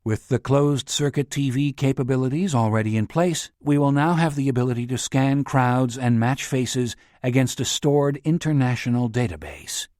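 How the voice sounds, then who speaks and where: A male audiobook narrator voicing a smooth-talking tycoon who delivers a grand speech in a velvety, oratorical manner. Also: mid-range voice, moderate pace, hardly any pauses, a little mellow, dry recording, clean studio sound, close to an everyday voice.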